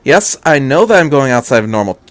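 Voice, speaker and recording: A man voicing an urgent, fast-talking doctor who bluffs with authority, persuasive and emphatic, in a fan fiction audiobook. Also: medium pitch, sing-song, dry recording, lightly acted. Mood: urgent, grave.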